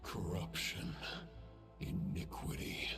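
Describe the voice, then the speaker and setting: A man's voice: slow pace, music in the background, close to an everyday voice. A man playing a menacing ancient foe in a video game, speaking in solemn, archaic, declamatory accusations. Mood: solemn menace.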